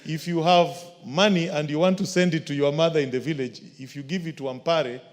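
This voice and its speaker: A man, a member of parliament, speaking in a measured, formal way at a desk microphone in a parliament chamber. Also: fairly high pitch, fairly even intonation. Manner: measured, formal, solution-focused. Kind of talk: parliamentary floor speech. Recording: long reverb of a large chamber.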